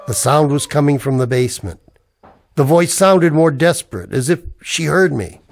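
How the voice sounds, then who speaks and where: A man's voice: a little high, lively intonation, moderate pace, vocal fry. An actor playing a weary, cynical man in a scripted radio-style noir drama. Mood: brooding. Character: weary, cynical, down-on-his-luck man narrating a noir tale. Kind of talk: scripted noir narration in the manner of old radio plays.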